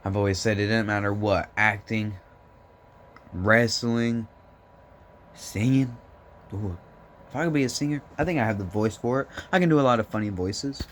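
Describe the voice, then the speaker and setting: A young man with a voice hoarse from smoking, rambling and joking in a laid-back way into his phone. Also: lowish voice, moderate pace, long pauses, slight background noise. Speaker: vlogger. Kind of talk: rambling casual vlog chat.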